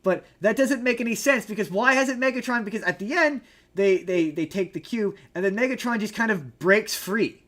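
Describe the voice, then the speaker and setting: High-pitched man in rambling, emphatic debate. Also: normal speed, a bit bright. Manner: emphatic, rambling, argumentative explainer. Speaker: podcast co-host. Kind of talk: casual opinionated film discussion.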